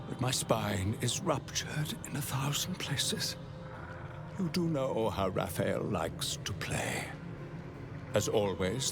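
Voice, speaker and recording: An actor playing an obsequious, lewd archivist of hell in a video game, with florid, formal, theatrical phrasing. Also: male voice, fairly low pitch. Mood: grovelling, then unctuous, lewd. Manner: fawning, florid, formal, drawn-out. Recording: game music behind. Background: music.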